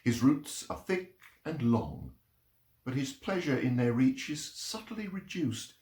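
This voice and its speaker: A man reading poetry and short stories aloud in a measured, expressive storytelling delivery. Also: medium pitch. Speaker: reader of poems and short stories. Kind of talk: literary reading aloud, performed narration. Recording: roomy, echoing sound.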